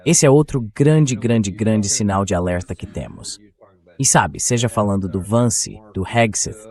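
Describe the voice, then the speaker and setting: A man's voice: fairly low pitch, a little mellow. A man as host, reading a translated voice-over of a political interview in conversational, argumentative sentences.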